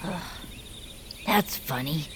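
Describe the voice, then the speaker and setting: A woman's voice: deep voice, animated, slow pace, noisy background. A woman playing a wounded young loner creature in an audio drama, blunt and given to self-pity. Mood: hurt, self-pitying. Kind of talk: scripted audio fiction acting.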